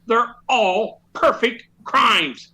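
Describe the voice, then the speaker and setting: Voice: medium pitch, lively intonation, extremely slow, pushed and bright, exaggerated voice. An actor playing a bossy, exasperated police sergeant in a radio comedy, blustering, sarcastic and emphatic. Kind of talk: old-time radio comedy acting.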